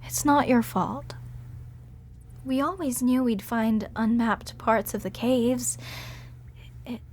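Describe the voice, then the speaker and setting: A woman voice actor performing a sweet, gentle character in an acted audio roleplay, talking in a bubbly, soothing way. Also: a little high, slow, slight background noise.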